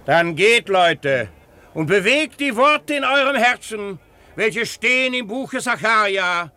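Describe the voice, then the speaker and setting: High, forceful village pastor. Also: male voice, lively intonation, takes it slowly, full character voice. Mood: solemn, preaching. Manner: preaching, admonishing. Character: stern, solemn village pastor, a man. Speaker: actor playing a pastor. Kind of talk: German radio drama acting.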